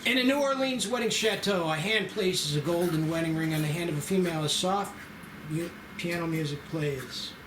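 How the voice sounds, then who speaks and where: A man's voice: fairly high pitch, moderate pace, background noise. A man narrating a screenplay at a table read with a dramatic storytelling delivery. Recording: echoey room with background music.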